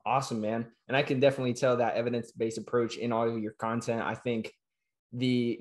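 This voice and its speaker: A man's voice: medium pitch, a little mellow. A young man in his twenties hosting a podcast over a video call from a small room at home, upbeat and friendly. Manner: upbeat, friendly, quick affirmations. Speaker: podcast host. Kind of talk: casual video-call interview. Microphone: webcam or laptop mic on a video call.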